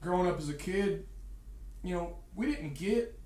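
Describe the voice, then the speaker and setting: A man in casual wrestling chat, voice pitched a little high, who hedges and restarts his sentences and fills pauses with "uh" and "um". He sits away from the mic in an echoey room. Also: animated, warm tone, distant mic. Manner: hesitant, many fillers and restarts. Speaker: podcast co-host.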